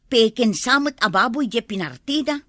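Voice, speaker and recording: A woman's voice: a little high, lively intonation, moderate pace, pushed and bright, no room sound, clean studio sound. A woman with an Ilocano accent playing a prickly, indignant schoolteacher in a comedy drama.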